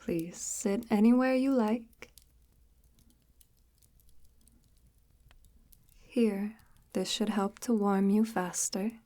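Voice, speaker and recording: Woman voicing a slow, hushed, sinister forest witch. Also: mid-range voice, natural voice. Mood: soothing, hospitable. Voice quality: breathy, often near-whispered. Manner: unhurried, formal, archaic-tinged, gently menacing. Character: soft-spoken, sinister, coaxing forest witch luring a lost traveler. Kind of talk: ASMR audio roleplay, slow intimate monologue.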